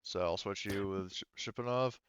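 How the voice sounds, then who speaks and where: A man running a tabletop roleplaying game as game master, talking casually and haltingly as he thinks aloud. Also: on the low side, a bit bright, dull audio, slight background noise.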